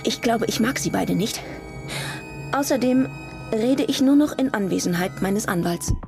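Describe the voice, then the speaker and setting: A woman's voice: a little high, animated, moderate pace, gravelly. A woman playing a dead man's guarded, defensive daughter in a radio crime drama, clipped and prickly. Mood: defensive, irritated. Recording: music behind the voice.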